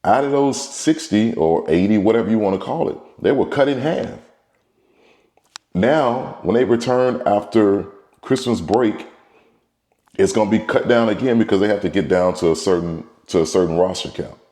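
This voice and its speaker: A man's voice: fairly low pitch, normal speed, warm tone, echoey room. A middle-aged baseball trainer with a cold, talking in a rambling casual monologue as host, repeating and restarting his words. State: congested from a cold.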